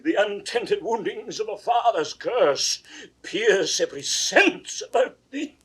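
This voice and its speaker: An actor playing a proud, raging old king in a classical 1960s Shakespeare audio drama, grand and declamatory, swinging from hushed to roaring. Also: high-pitched, expressive, slow pace, slightly rough, distant mic, exaggerated voice. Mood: bitter, threatening. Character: aged king, proud, raging at his daughter.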